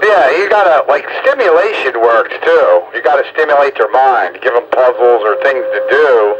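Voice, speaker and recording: A middle-aged or older man chatting casually, halting and repeating words as he goes. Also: medium pitch, animated, highs cut off, noisy background. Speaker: husky owner and rescue volunteer. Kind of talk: casual chat.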